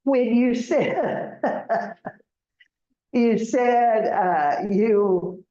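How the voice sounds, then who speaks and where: Woman teacher, Oklahoma accent, very slow and patient delivery, roomy muffled classroom recording. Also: fairly high pitch, mellow. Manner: patient, repeats words for learners, laughs often. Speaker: English and citizenship teacher. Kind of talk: classroom language lesson.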